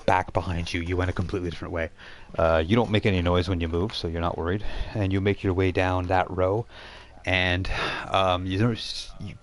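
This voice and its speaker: Low-voiced man running a tabletop game. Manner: halting, searching for words as he describes scenes. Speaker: game master. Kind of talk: narrating a live tabletop role-playing game, improvised.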